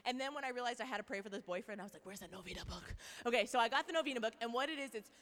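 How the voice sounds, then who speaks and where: Woman, twenties, faith talk, animated storytelling, slightly high voice, long hall reverb. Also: rapid-fire. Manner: animated storytelling with acted quotes and asides. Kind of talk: faith talk to a live audience.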